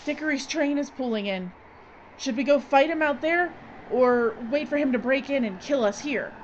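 A woman's voice: sits high, moderate pace, lo-fi sound, slight background noise, close to an everyday voice. An actress playing a resigned but defiant woman filmmaker facing death in an earnest radio horror drama. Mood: grim resignation.

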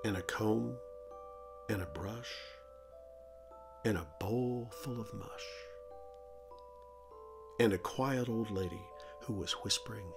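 A man's voice: fairly low pitch, slow, gravelly, long pauses, music in the background. A middle-aged man who hosts a children's show, presenting and reading stories aloud for kids.

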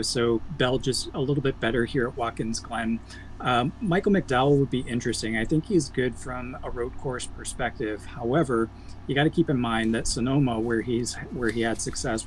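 A man analysing racing for a betting preview over a video call, measured and stat-heavy with the odd um. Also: mid-range voice, slight background noise, low-quality recording. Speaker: motorsports analyst guest.

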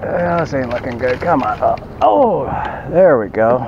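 A man's voice: mid-range voice, expressive. A man fishing from a kayak on an outdoor lake, casually commenting to himself and a friend, picked up by an action camera. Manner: talks to himself and his buddy while fishing, quick exclamations. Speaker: fishing vlogger. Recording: dull action-cam audio with water and wind noise.

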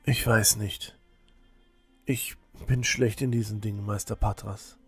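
A man plays an earnest teenage village boy in a fantasy audio drama. He speaks slowly and hesitantly, shy and unsure, with a creaky edge. Faint music plays behind him. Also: fairly low pitch, little pitch movement.

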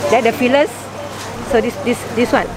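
A woman's voice: a little high, steady tone, moderate pace, rough recording. A middle-aged market vendor woman, patiently explaining step by step how to clean and cook produce. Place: indoor wet market stall. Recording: market crowd behind.

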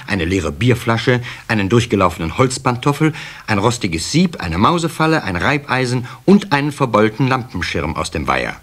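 A man's voice: lowish voice, fairly bright tone. A man narrating a scripted children's story in a radio play.

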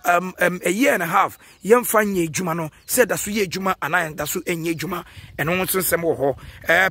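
Ghanaian male field reporter, high husky voice, dry outdoor sound, on-site walkthrough. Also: a bit bright, no room sound. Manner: walking tour, pointing things out. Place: outdoors at a stadium.

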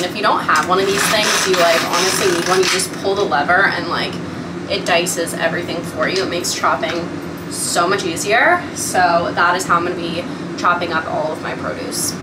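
A woman's voice: lowish voice, a little fast. Chatty young woman vlogging in an echoey kitchen. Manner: chatty, many fillers like "like". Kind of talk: casual vlog chat. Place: small home kitchen, indoors. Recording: echoey room, noisy, mic at a distance.

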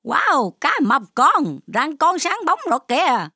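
A woman voicing a cheerful grandma shark in a children's cartoon, animated and patiently explaining. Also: sits high, unhurried, very noisy, full character voice. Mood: encouraging. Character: cheerful grandma shark dressed as tooth fairy, instructive.

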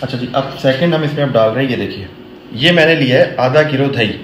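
A man's voice: mid-range voice, quick pace. Chatty man in an echoey home kitchen. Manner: chatty, explains each step, sprinkles English phrases and Islamic blessings. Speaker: cooking vlogger. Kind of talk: casual cooking vlog to camera. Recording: echoey kitchen with background noise.

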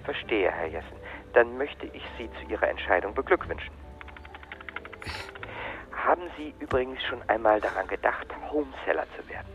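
An actor playing an evasive, relentlessly polite call-centre agent in a radio drama, reeling off insistent, formulaic sales patter. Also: male voice, on the low side, animated, moderate pace, a bit bright, muffled recording. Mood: salesy, upbeat. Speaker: customer service agent. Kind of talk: radio drama acting, scripted phone dialogue.

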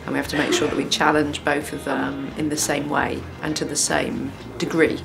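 Female journalist, forties, low and flat-toned, earnest interview over music. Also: normal speed, gravelly.

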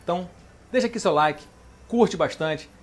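A man's voice: sits high, expressive, normal speed. Chatty middle-aged man cooking at home. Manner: chatty, keeps asking "beleza?" and "tá?". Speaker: cooking show host. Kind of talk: casual home cooking demonstration. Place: home kitchen, indoors.

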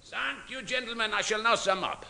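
Officious chairman, old radio comedy, highish creaky voice, very formal. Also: moderate pace, bright, forceful tone, full character voice. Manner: formal, pompous. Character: brisk, officious male French conference chairman. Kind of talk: radio sitcom acting.

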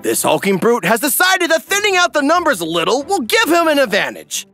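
Wildly animated, high-pitched male narrator. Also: brisk, soft background music. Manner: theatrical, wry, emphatic. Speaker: recap narrator. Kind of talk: scripted comedic narration.

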